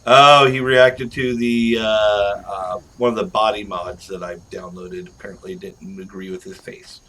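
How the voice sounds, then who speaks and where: Low-voiced man rambling through game commentary. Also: fairly even intonation, measured pace, fairly bright tone, low-quality recording. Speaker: video game reviewer. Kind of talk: casual gameplay commentary.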